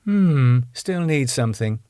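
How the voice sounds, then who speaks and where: A man's voice: medium pitch, expressive, measured pace, dark tone, clear, resonant tone, no room sound, studio quality, lightly acted. A man voicing a cheerful, domineering middle-aged wife in a raised voice. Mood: breezy. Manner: sweet but domineering.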